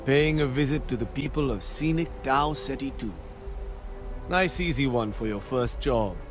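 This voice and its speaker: An actor playing a relaxed, dry mission handler at a spaceport in a video game. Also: male voice, medium pitch, natural voice. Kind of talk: scripted video game dialogue.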